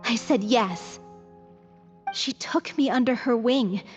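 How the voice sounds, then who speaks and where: A devoted, frail young performer in a video game. Her voice is on the high side and measured, with earnest delivery. It rings with reverb over faint music. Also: dull audio. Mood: somber, confiding. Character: sickly young female troupe singer, earnest, exasperated, devoted.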